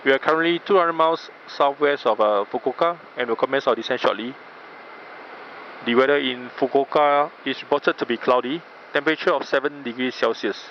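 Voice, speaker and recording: A man's voice: medium pitch, fairly quick. Muffled male captain on aircraft PA. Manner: formal, informative. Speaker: airline captain. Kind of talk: pilot's cabin announcement. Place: aircraft, over the PA. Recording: muffled cabin PA, faint aircraft noise.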